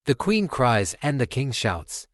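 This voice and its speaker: A man's voice: mid-range voice, very animated, unhurried, a bit bright, slight background noise. A man hosting a storytime channel, reading a children's picture book aloud as narrator.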